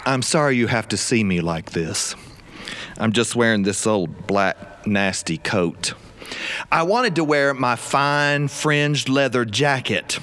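A man's voice: mid-range voice, expressive, slow, fairly bright tone, a little hiss or hum. A man from South Carolina with a Southern US accent, telling a humorous story live in a performance studio in a folksy, theatrical, wry way. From South Carolina, USA.

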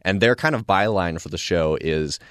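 A man's voice: low-pitched, normal speed, fairly bright tone, smooth, no room sound. A man chatting casually as a studio guest and assistant producer, enthusiastic and easily amused. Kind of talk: casual conversational chat.

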